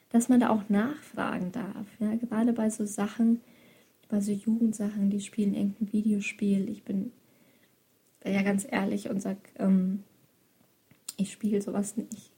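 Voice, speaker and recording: A woman's voice: mid-range voice, gravelly, warm tone, breathy edge. A woman who works as a youth educator, reflecting on her work in a loose, thoughtful monologue with frequent hesitations. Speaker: youth educator and book author.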